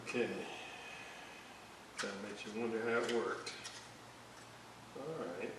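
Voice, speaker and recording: A man talks slowly and haltingly through a hands-on repair job. He sounds distant from the microphone in a very reverberant room, with a steady hiss under his voice. His commentary is casual, as if thinking aloud at the bench. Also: medium pitch. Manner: hesitant, halting, thinking aloud while working. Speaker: electronics restorer narrating a repair video. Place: indoor room at a workbench. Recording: very echoey room, distant mic, clear hiss.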